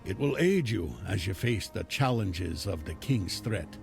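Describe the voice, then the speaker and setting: Gruff fantasy game chieftain type, deep and really croaky, grave delivery, music behind. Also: male voice, animated, a little slow, darkish tone, full character voice. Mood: solemn, grateful. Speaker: video game character. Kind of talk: dramatic fantasy game dialogue. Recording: game dialogue over clear music.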